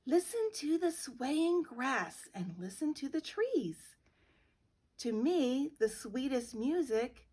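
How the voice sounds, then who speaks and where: Woman doing a gentle wise cricket, slow, breathy, picture-book read. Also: a little high, exaggerated voice. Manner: gentle and reassuring. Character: kind, wise little male cricket.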